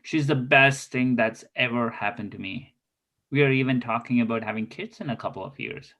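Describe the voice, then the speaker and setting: A man's voice: medium pitch, moderate pace, fairly bright tone, close to an everyday voice. Earnest, lovestruck spy in his thirties, read by a man, formal and courtly, mid-range voice, table-read drama. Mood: devoted.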